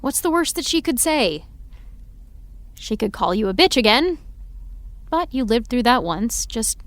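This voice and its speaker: A woman's voice: on the high side, sing-song, a little slow, fairly bright tone, dry recording. Woman playing a nervous, rambling young woman. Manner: nervous, rambling, self-deprecating. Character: young woman, anxious and self-deprecating. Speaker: actor in audio drama.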